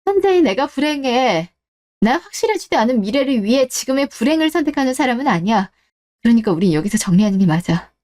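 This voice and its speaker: A woman's voice: fairly high pitch, very animated, fast, darkish tone. A woman playing a fed-up wife in a melodramatic radio drama, firm, cold and sarcastic. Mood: resolute. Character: cold, resolute, fed-up wife standing up for herself.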